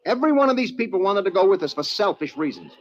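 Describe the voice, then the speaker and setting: A man's voice: sits high, very animated, quick pace. An actor playing an earnest, stubborn young mountaineer in an old-time radio drama, dramatically telling of his climb. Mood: troubled, insistent. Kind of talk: old-time radio drama acting and first-person narration. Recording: dull, noisy old radio recording.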